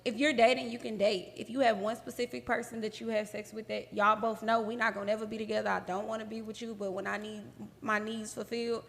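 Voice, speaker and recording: Woman, fast talker, gravelly, high voice, emphatic, argumentative. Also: level tone, fairly bright tone, in a large hall. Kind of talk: casual group chat.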